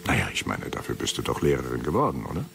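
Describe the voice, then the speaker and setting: A jovial, needling headmaster in a radio play. His deep voice creaks and teases, pausing for effect before each point. Faint music runs underneath. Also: huge pitch swings, fairly bright tone, full character voice. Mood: smug, probing. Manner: chuckling, hesitant, teasing, with meaningful pauses. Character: jovial, smug, needling middle-aged male school headmaster.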